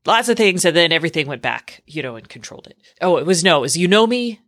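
A woman's voice: lowish voice, very animated, fast, fairly bright tone, no room sound. A woman hosting a tech podcast, talking in a chatty, informal way with lots of "like" thrown in. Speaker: podcast host. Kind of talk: casual tech podcast chat.

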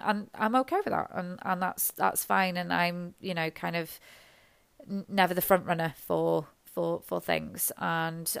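A woman, a nurse, talking in a halting, rambling, self-deprecating way, her voice hoarse and gravelly from illness. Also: lowish voice, fairly bright tone, no room sound. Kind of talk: reflective personal monologue.

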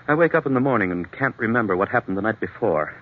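A man's voice: on the low side, lively intonation, quick pace. An actor playing an anxious, troubled businessman in a 1940s radio drama, speaking hesitantly and earnestly on a dull old recording. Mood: weary, earnest. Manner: hesitant, earnest, agitated when challenged. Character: troubled, anxious businessman suffering blackouts, confiding in a doctor.

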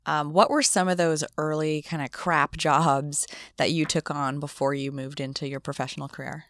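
Chatty low-voiced woman podcast host. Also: level tone, a bit bright, a little hiss or hum. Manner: upbeat, chatty, quick asides. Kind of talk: casual interview chat.

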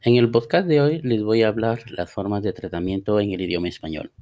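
A man teaching a Spanish lesson on a podcast, reading example phrases slowly and deliberately. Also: on the low side, dark tone. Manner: slow, deliberate, reads model dialogues aloud. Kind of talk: scripted language lesson podcast.

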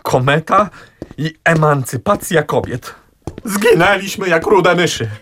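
A young actor playing a hopelessly indecisive young gentleman who hesitates and stammers in a radio play. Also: fairly high pitch, animated, a little slow, a little hiss or hum. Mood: hesitant. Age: young adult. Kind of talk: radio drama acting.

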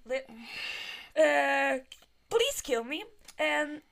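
A young woman with a high, bright voice reacts with disbelief and trips over words as she reads a silly story aloud. Her tone is sharp and lively. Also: extremely slow, vocal fry. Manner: incredulous, interjects and stumbles over words. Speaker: co-host reading fanfiction aloud. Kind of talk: casual comedic reading.

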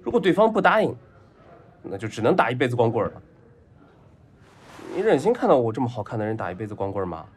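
A man's voice: mid-range voice, very animated, fast talker, pauses often, natural voice. An actor playing a lovestruck, spoiled young rich man in an audio drama, clingy and naive, with an eager, whiny, childishly earnest way of talking. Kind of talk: Chinese audio drama acting.

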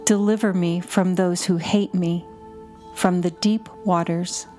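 Slow-paced woman leading contemplative prayer. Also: lowish voice, fairly even intonation, dark tone. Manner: measured, deliberate phrasing in short lines. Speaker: prayer guide and podcast host. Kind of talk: slow, repetitive guided contemplative prayer reading. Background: music under the voice.

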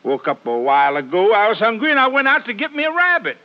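An actor playing a hard-of-hearing, befuddled country ranch hand in a 1950s radio drama, folksy and rambling. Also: male voice, high-pitched, wildly swooping pitch, fast talker. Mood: defensive, foggy. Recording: muffled old radio recording.

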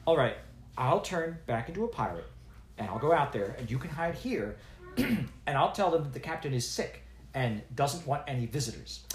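A man's voice: mid-range voice, very animated, moderate pace, roomy sound, a little hiss or hum, voice pushed up. Man putting on a flustered young girl wizard. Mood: indignant. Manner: hesitant, with ums and uhs.

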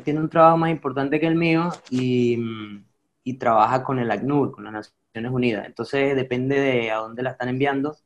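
A man, a guest chatting casually and easily, with a child of his own. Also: mid-range voice. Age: adult with a child. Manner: relaxed, chatty, many fillers like "este". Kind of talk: casual conversation.

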